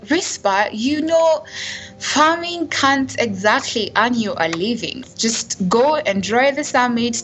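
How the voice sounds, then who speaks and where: A woman's voice: fairly high pitch, a bit bright. A young woman from Kenya with a Kenyan accent, telling her own story in an earnest, conversational way that is full of "you know". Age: young adult. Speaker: interviewee. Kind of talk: casual personal storytelling. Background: background music.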